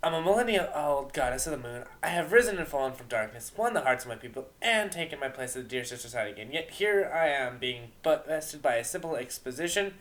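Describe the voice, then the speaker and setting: A man's voice: on the high side, expressive, a little fast, few pauses, fairly bright tone. An ancient moon goddess mare played by a man tells her tale straight to the listener. She is grand and theatrical, yet easily flustered and chatty, correcting herself as she goes in storybook fashion. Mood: exasperated. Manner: theatrical, self-correcting, addresses the listener directly. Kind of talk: dramatic storytelling reading.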